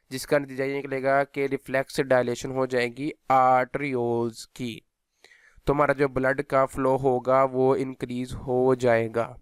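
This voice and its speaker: Male physiotherapy instructor, unhurried delivery, dry close sound, English terms mixed in. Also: mid-range voice, smooth, no echo. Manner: switches between Hindi and English technical terms. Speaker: physiotherapy lecturer. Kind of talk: instructional lecture explaining notes.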